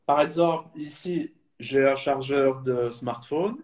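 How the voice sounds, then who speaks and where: Man in his thirties from Belgium; halting, filler-heavy, mid pitch. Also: darkish tone. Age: 30s. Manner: hesitant, many fillers and restarts. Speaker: supporters club guest. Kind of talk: casual video call interview.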